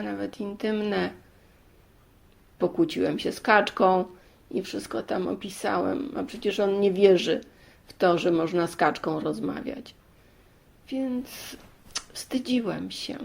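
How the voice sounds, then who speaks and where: A woman voicing a shy, wronged boy in a storybook, halting and aggrieved as he explains himself. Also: medium pitch, creaky, a little mellow. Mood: embarrassed.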